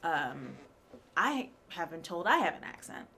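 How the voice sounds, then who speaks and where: Lady cohost, chatty and expressive, bit of a low voice, little hiss under it. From rural area. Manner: chatty, expressive, quick to laugh. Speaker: co-host and actor. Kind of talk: casual live show chat.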